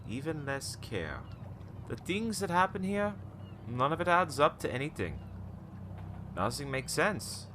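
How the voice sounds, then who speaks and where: A man's voice: fairly high pitch, sing-song, unhurried, pauses often, noisy background. An actor playing a wary, cynical old townsman who tells cryptic stories in a radio play, in a theatrical, rambling way. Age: older. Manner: cryptic, theatrical, rambling storytelling with knowing chuckles. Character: wary, cynical old male townsman, former shopkeeper, storyteller.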